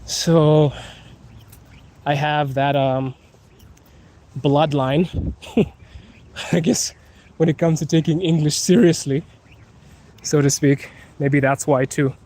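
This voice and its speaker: A young man from Zimbabwe hosting a relaxed live Q&A while walking outdoors. He has his sinuses bothered by pollen, laughs often and drags out some words. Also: fairly high pitch, slow, long pauses. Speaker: host. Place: outdoors on a city street.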